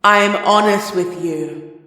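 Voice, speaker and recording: A man's voice: high-pitched, a little slow, bright, forceful tone, heavy reverb, in a large hall, voice pushed up. A man voicing a raging, fanatical female monster spirit that screams and snarls in an audiobook reading. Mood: fearful insistent. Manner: screaming, snarling, then pleading whine. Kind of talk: fanfic audiobook character voice.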